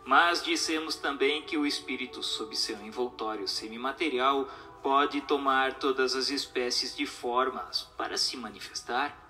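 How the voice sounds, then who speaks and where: A man's voice: mid-range voice, unhurried, hardly any pauses, pushed and bright, faint music behind. A man with a Brazilian accent reading a formal, didactic text aloud as scripted narration.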